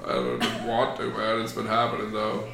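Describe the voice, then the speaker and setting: A Texan man with a relaxed, laughing way of talking and plenty of fillers as a podcast host. His tone is bright. The room adds clear echo. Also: on the low side, normal speed. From Texas. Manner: laid-back, laughing, filler-heavy. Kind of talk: casual podcast chat.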